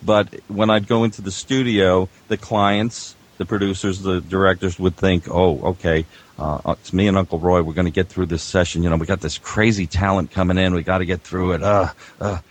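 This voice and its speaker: An older man, a veteran producer, chatting casually and haltingly, acting out quotes as he tells anecdotes. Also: low voice, steady tone. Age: 50s or older. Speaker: veteran audio producer, guest.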